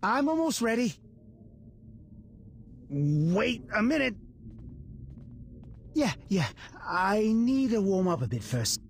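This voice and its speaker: An actor playing a cocky, jittery police officer in a video game, excitable and emphatic. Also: male voice, high-pitched, slow, long pauses, a little hiss or hum, exaggerated voice. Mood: eager, nervous. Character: cocky, jittery male cop, boastful but fearful. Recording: loud music behind the voice.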